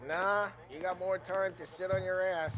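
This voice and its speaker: A man's voice: high-pitched, level tone, normal speed, a bit bright. A man playing a brash, streetwise mobster with a New York Italian-American accent, teasing, in a video game cutscene. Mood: gruff, dismissive. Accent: New York-style Italian-American.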